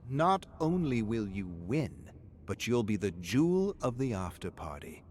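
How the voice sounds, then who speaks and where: A man voicing a supportive, wise mother who speaks earnestly, deliberately and with emphasis. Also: fairly low pitch, very animated, darkish tone, slight background noise. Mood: encouraging. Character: supportive, wise middle-aged mother giving firm pep talks.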